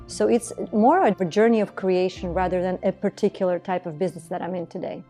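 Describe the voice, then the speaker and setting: Woman in her 40s telling her founder story, earnest and steady, warm mellow voice, quiet music under it. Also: lowish voice, brisk. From Estonia. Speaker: entrepreneur, beauty business founder. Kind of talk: documentary interview.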